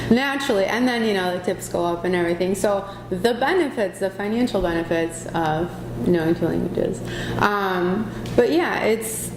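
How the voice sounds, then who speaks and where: A woman's voice: medium pitch, moderate pace. Chatty young woman in an echoey room. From Chicago, USA. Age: 20s. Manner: chatty and earnest, with frequent ums and self-corrections. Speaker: vlogger. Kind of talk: casual personal vlog to camera. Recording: echoey room with clear background noise.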